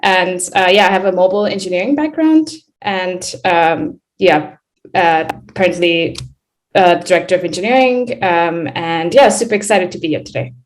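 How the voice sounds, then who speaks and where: A woman's voice: fairly low pitch, normal speed. A woman from India with an American accent, speaking casually and thoughtfully as a panelist on a video call, with many fillers. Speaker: panelist, engineering director. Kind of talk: panel discussion.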